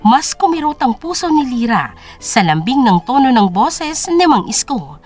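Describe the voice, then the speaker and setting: High, expressive woman storyteller. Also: normal speed. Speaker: narrator. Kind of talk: dramatic scripted story narration. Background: music.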